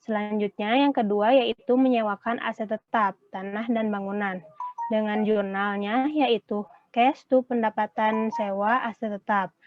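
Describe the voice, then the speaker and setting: A woman's voice: mid-range voice, steady tone, measured pace, faint music behind. A young woman from Indonesia giving a prepared class presentation as a student. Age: young adult. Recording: boxy room, thin phone-like sound.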